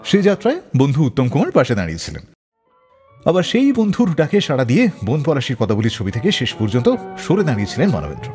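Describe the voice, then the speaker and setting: A man's voice: medium pitch, huge pitch swings, fast talker. A man hosting a radio show, telling stories between songs with dramatic phrasing. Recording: long reverb, slightly blurred voice, faint music. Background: faint music.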